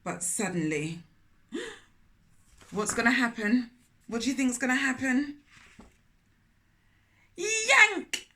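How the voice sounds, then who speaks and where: A woman reading a children's story aloud in an expressive manner, often turning to question young listeners directly. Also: high voice, slow, long pauses. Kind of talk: animated children's read-aloud with asides to listeners.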